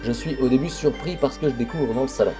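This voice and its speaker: A man's voice: mid-range voice, fast talker, music in the background, close to an everyday voice. A man reading the inner narration of a young male protagonist from a visual novel. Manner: plain reading of game text.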